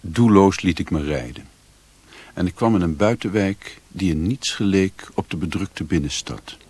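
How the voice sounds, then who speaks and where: A reflective adventurer tells his tale in a radio play. The voice is very deep and husky, and it moves through a wide range of intonation. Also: lively intonation, normal speed, darkish tone. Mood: reflective, narrating. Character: reflective adventurer recounting his own story. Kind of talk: scripted radio drama narration.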